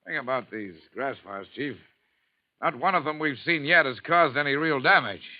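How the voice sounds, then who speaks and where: An actor playing a loyal, good-humored fire lieutenant in a 1950s radio drama. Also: male voice, medium pitch, lively intonation, moderate pace, close to an everyday voice. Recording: dull old radio recording.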